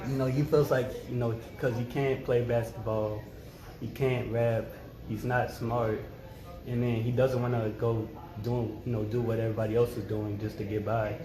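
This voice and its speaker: A man in his twenties leading a casual youth discussion, talking loosely with lots of fillers. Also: medium pitch. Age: 20s. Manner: relaxed, filler-heavy, thinking aloud. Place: indoor meeting room at a ministry event. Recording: echoey room with crowd murmur.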